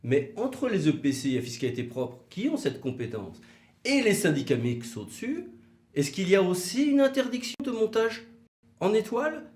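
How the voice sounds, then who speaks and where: A man presenting an explanatory legal video in a conversational way, with rhetorical questions and hesitant pauses. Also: sits high, very animated, darkish tone. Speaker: legal commentator for a law firm, co-presenter. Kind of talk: explanatory legal video, semi-scripted two-hander. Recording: roomy, echoing sound.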